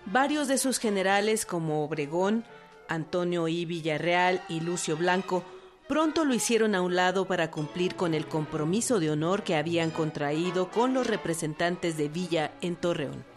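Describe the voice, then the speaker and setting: A narrator reading a scripted historical account in a measured, even way. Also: medium pitch, faint music behind.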